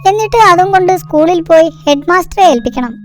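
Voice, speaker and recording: A woman's voice: very high-pitched, expressive, quick pace. A woman voicing an eager, polite young schoolboy inventor in a cartoon. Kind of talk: cartoon dialogue. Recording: music behind the voice.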